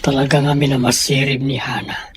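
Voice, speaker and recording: A man with a Filipino Ilocano accent playing a gentle, caring father in an audio drama. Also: medium pitch, level tone, brisk, soft background music, lightly acted. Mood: fond, musing. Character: gentle, caring father thinking fondly of his children. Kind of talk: audio drama monologue and dialogue.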